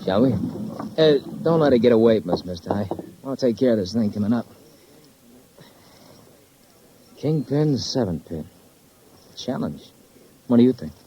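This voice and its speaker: A man's voice: mid-range voice, very animated, moderate pace, mellow, a little hiss or hum, close to an everyday voice. An actor playing a sardonic, embittered young man with an American accent, clipped and slangy, in 1950s radio crime drama style. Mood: flippant, cocky. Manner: clipped, sarcastic, slangy wisecracks. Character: bitter, sardonic young man, failed lawyer, tough talker. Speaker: actor playing a murder suspect. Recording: muffled vintage 1950s radio recording.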